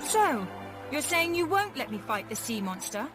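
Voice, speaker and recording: An actress playing a bold, bossy young woman adventurer in a video game, with an assertive, brisk manner. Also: high-pitched, big pitch swings. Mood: indignant. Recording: game music behind the voice.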